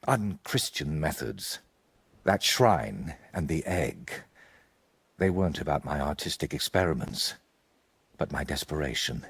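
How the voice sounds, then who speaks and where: A low, husky older man, a troubled medieval painter in a video game. His speech swings widely in pitch, earnest and haunted, weighed down by guilt and desperation. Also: fairly bright tone, heavily acted. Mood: troubled, confessional. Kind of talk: video game dialogue acting.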